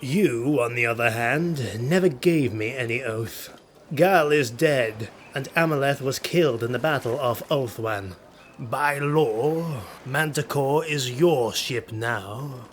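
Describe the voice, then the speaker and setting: Proud, cruel dark elf highborn for a fantasy audiobook, played by a man: sardonic, muttering, unhurried. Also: slight background noise, close to an everyday voice. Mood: commanding. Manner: sardonic, slow muttering delivery.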